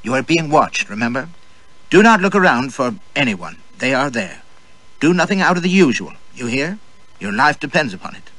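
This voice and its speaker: A man's voice: medium pitch, sing-song, normal speed, pushed and bright, no echo, voice pushed up, low-quality recording. A man voicing an imperious, short-tempered woman in an audiobook, snapping out curt, scornful orders. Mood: tense, bossy. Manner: curt, clipped, rapped-out orders.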